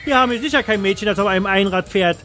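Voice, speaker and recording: A man's voice: high-pitched, very fast, bright, forceful tone, faint music behind, voice pushed up. A man voicing a bored, dismissive older woman at a ticket booth in an audio story, curt and unhelpful. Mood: defensive, curt.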